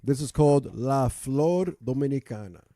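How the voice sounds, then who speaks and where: Male host, mellow and warm-toned, relaxed questioner guiding the chat, close dry mic. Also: mid-range voice, normal speed, no echo. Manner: relaxed, asks questions, steers the talk. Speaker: podcast host. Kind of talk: casual interview.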